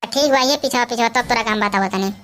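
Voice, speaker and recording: A woman's voice: a little high, fairly quick, a bit bright, natural voice. A woman voicing a sassy, complaining school pupil with a Bhojpuri accent, quarrelsome and tattling, in a cartoon comedy. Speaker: voice actor. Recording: very noisy background with clicks.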